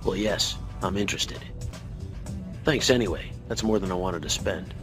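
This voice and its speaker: A man's voice: low-pitched, normal speed, over music, lightly acted. An actor playing a male freelance pilot hero in a video game cutscene, giving terse, guarded replies. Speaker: game character.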